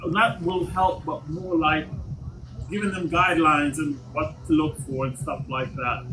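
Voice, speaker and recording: A man from Jamaica hosting a casual live chat, talking haltingly with many repeats and restarts. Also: on the high side, fairly even intonation. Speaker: livestream host. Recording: noisy stream audio.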